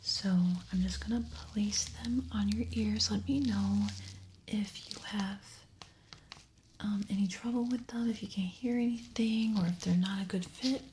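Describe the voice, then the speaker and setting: A woman whispering softly and breathily in an ASMR medical roleplay, gently giving reassuring step-by-step instructions close to the mic. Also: medium pitch, steady tone, measured pace, slight background noise. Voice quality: soft, breathy, mostly unvoiced whisper. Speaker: ASMR creator playing a hearing examiner.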